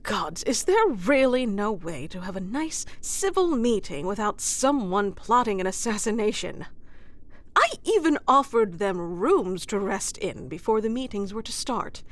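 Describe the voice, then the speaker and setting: A woman's voice: medium pitch, sing-song, a little slow. A woman playing a wry, composed, battle-worn ruler in a scripted fantasy audio roleplay, with formal, teasing, dry delivery. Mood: weary, wry. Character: wry, composed female ruler of a fantasy kingdom, wounded ex-fighter.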